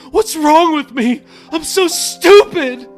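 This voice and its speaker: Anguished young woman, played by a male narrator, high voice, choked with guilt, for audiobook drama over music. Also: animated, slow, exaggerated voice. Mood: wailing despair. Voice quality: choked, sobbing. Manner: wailing, self-blaming. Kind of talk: audiobook character voice.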